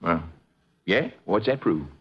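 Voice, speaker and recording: An actor playing a blustery, defensive rancher in a vintage radio drama, talking in a colloquial way. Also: male voice, low-pitched, lively intonation, slow pace, husky, muffled recording, full character voice. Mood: defensive, scornful. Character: blustery, accusing male rancher witness. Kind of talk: 1950s radio acting. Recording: noisy vintage radio recording.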